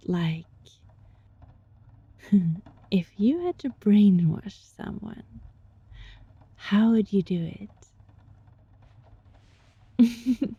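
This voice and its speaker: A woman playing a gentle, sly young fox-spirit student in an intimate ASMR roleplay, speaking softly and breathily in a coaxing, teasing way. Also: mid-range voice, no room sound, lo-fi sound, full character voice. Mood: curious, teasing. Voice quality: soft, breathy.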